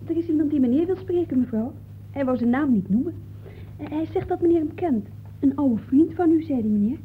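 An actress playing a polite, hesitant housemaid, deferential and halting, in an old radio play. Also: female voice, high-pitched, expressive, narrow, tinny audio. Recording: thin, noisy old radio recording.

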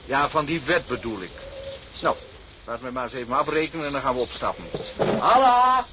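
Man acting a pompous, animated sea captain. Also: mid-range voice, fairly quick, noisy background, natural voice. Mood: genial. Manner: pompous, formal, declamatory when dictating. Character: formal, kindly older sea captain with earnest pomp. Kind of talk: Dutch radio drama acting.

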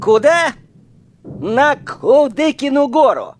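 A man's voice: very high voice, big pitch swings, slow pace, slight background noise, full character voice. A man playing a scornful, menacing Soviet wartime soldier who rounds up deserters in an audio drama. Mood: angry, contemptuous. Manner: barking, menacing, jeering. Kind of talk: Russian audio drama acting.

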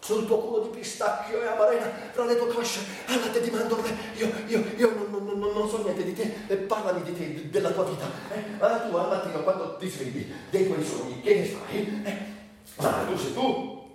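A man's voice: high voice, moderate pace, darkish tone. A man performing a theatrical literary monologue for radio, brooding, in broken phrases with dramatic swings. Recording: very echoey room, distant, faint noise.

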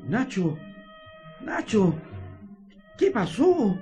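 A man's voice: high voice, big pitch swings, drawn-out words, mellow, exaggerated voice. An actor playing a worried middle-aged countryman in a radio drama. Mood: alarmed concern. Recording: dull 1990s radio tape, music behind.

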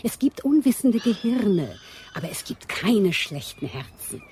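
An actress playing a kindly, scatterbrained woman who runs a home for wayward girls in a radio drama; she speaks earnestly and gets gently flustered. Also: medium pitch, very animated, a little slow, a little hiss or hum.

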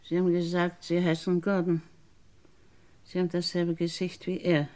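An actress plays a guarded middle-aged woman in a radio crime play. Her voice is dark and somewhat low, and she speaks in short, solemn phrases with bitterness held underneath. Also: completely flat delivery, moderate pace, close to an everyday voice. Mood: guarded, bitter. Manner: terse, solemn.

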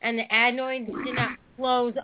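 A woman's voice: on the high side, level tone, normal speed. A woman with a nasal voice, calling in to a talk show. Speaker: caller. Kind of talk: phone call to a radio show. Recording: tinny phone line.

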